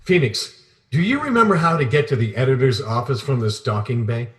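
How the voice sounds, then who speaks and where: A man playing a mild, earnest, slightly befuddled space adventurer in a plain, measured way for a comic sci-fi audio drama. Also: mid-range voice, expressive, natural voice.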